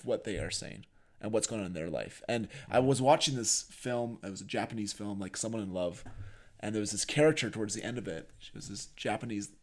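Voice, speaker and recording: A man with a fairly low voice chats as a podcast co-host. His delivery is casual and conversational, and he comes across as earnest and reflective. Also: a little fast.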